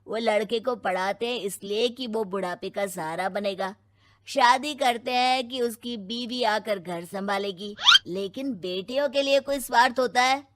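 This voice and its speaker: A woman's voice: on the high side, unhurried, slight background noise, close to an everyday voice. A woman playing an opinionated young character in a cartoon story, arguing and explaining a point. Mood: argumentative. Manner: argumentative, explanatory. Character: opinionated young person arguing for daughters' fair treatment.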